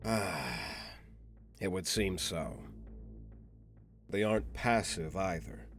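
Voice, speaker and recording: A man playing a formal, cautious subordinate agent in an audio drama, talking in a measured, questioning manner. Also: low voice. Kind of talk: scripted audio drama roleplay.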